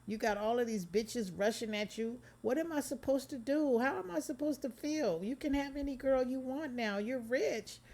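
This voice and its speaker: A reader voicing a jealous, tearful young woman who pleads and accuses in a high, loud voice. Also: moderate pace, close to an everyday voice. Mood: pleading.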